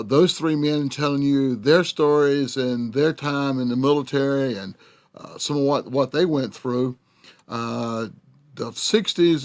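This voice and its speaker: An older man speaks as a show host. He talks in a halting, reflective way, filling gaps with drawn-out pauses. His voice sits at an ordinary middle pitch. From Southern United States. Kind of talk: reflective narration.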